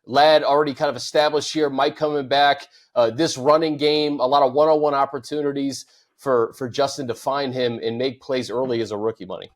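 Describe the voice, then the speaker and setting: A man hosting a sports talk show, laying out his points in a steady, methodical way. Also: medium pitch, brisk. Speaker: host.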